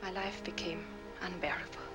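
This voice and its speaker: An actress playing a troubled, demure young French widow in a 1940s Hollywood film. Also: female voice, fairly low pitch, fairly even intonation, normal speed, natural voice. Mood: troubled, sorrowful. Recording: old film soundtrack, music behind.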